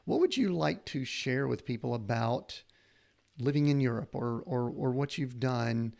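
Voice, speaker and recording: A man's voice: mid-range voice, animated, measured pace, creaky, a little mellow. A middle-aged man hosting a podcast, earnest and rambling as he searches for the words to his questions. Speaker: podcast host. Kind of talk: conversational interview.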